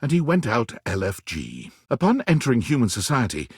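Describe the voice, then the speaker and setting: A man's voice: medium pitch, huge pitch swings, brisk, vocal fry, husky, no echo, studio quality, heavily acted. A man playing a dramatic storyteller, narrating a video game in a theatrical, scripted second-person style. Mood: dramatic, storytelling.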